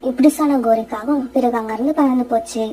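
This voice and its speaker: A woman giving calm, scripted narration for a children's cartoon story. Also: fairly high pitch, fast.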